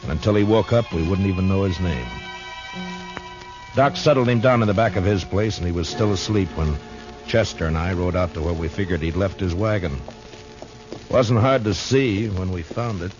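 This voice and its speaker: Male actor as low-voiced American Old West lawman, dry and steady, muffled vintage radio drama. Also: normal speed, music in the background, natural voice. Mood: dry, measured. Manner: terse, dry, understated. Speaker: radio drama lead and narrator. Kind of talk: 1950s radio drama acting and narration.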